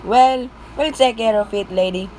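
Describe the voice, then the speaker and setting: A woman playing an unhurried police desk officer in an amateur radio play, procedural and noncommittal. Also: a little high, mellow, natural voice. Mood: placating. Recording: faint background noise.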